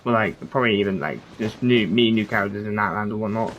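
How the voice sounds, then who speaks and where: A man's voice: lowish voice, fast talker, a bit bright, muffled recording, slight background noise. A man giving casual gameplay commentary as a streamer, rambling and often trailing off mid-thought. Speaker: game streamer. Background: game music.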